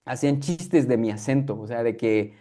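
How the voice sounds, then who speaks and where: A man's voice: mid-range voice, normal speed, mellow. Reflective man with a K'iche' accent. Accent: K'iche'-influenced Guatemalan Spanish. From Guatemala. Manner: reflective, many fillers and restarts. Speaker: guest. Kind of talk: conversational interview.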